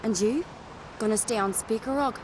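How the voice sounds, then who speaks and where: An actress voicing a bold, confident young noblewoman who talks briskly and teasingly. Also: on the high side, background noise, close to an everyday voice. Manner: brisk, teasing and sure of herself.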